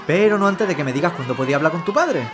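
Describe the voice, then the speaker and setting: A man's voice: on the high side, animated, racing, natural voice. A man playing a playful, flirtatious suitor in an audio drama. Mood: teasing. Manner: playful, teasing. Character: teasing, flirtatious male suitor. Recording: loud music and crowd behind.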